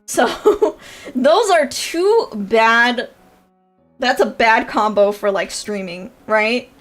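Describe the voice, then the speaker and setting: Nasally young woman streaming, kinda high voice, rambly with lots of ums, little bit of music behind. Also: animated, drawn-out words. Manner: chatty and rambling, with frequent fillers and laughs. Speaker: VTuber streamer and creator coach. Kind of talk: casual livestream chat.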